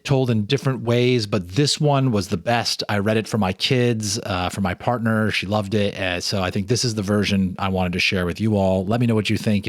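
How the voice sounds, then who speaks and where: A man hosting and narrating a ghost story in a deliberate, dramatic storytelling style with conversational ease. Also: lowish voice, no echo, clean studio sound. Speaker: host and narrator reading a story. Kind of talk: dramatic ghost story reading with casual asides. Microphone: speaking to camera, reading off a teleprompter.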